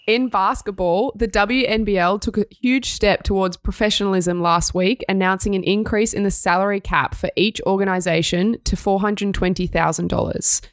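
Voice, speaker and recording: A woman's voice: medium pitch, fairly even intonation, moderate pace, few pauses, no echo. A young Australian woman co-hosting a sports news podcast, reading stories and chatting in an upbeat way. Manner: upbeat, reads news items then chats. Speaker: podcast co-host.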